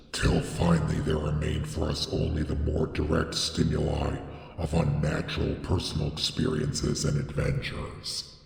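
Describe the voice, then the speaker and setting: A man reading gothic horror as dramatic scripted narration, with solemn, deliberate, theatrical phrasing and a gravelly voice. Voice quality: very deep, gravelly. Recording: echoey with long reverb.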